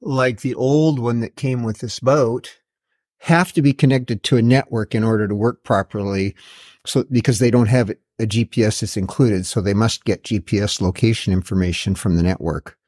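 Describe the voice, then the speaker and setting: A man's voice: fairly low pitch, fairly even intonation, normal speed, darkish tone. A middle-aged or older man giving a casual, matter-of-fact product walkthrough as a video host, with a slightly wry, plain-spoken way of talking. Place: indoor garage workshop.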